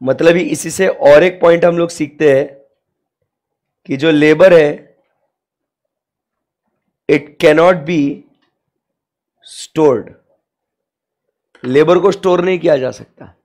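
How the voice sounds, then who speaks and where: A young man teaches with a sore, hoarse throat in a high voice. He talks slowly and leans hard on drawn-out English phrases. He keeps checking in on the class with rhetorical questions. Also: a little mellow. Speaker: commerce lecturer. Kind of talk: classroom lecture, mixing Hindi and English.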